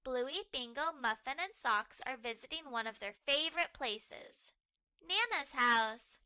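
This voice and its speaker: Slow, bright, animated woman storyteller. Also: high voice, dry recording. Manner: animated, clearly paced for early readers. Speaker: storybook read-aloud narrator. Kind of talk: expressive scripted narration for young children.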